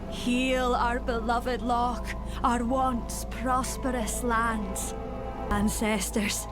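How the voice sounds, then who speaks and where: A woman playing a devout, sorrowful druid in a fantasy video game, praying in a solemn, reverent incantation. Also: a little high. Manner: pleading, reverent. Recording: music under the voice.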